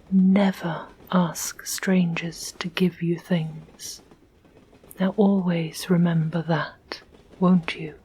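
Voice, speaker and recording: A woman's voice: on the low side, a little mellow, breathy edge, full character voice. A woman voicing a loving but stern Victorian mother in a storybook, speaking in a whisper.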